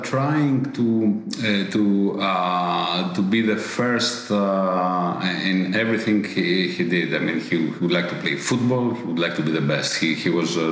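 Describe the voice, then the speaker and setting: A middle-aged man with a Greek accent speaks in a low voice. He answers slowly and hesitantly, stumbling over repeated words and fillers. The recording sounds strongly reverberant, as in a bare room. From Greece. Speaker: business executive guest. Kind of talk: interview answers.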